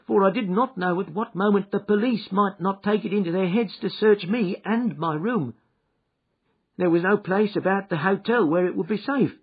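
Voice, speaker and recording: A man voicing a panicked young male thief who pleads and confesses in a high, desperate voice. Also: breathy edge. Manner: shrieking, desperate, sobbing. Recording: dull lo-fi recording.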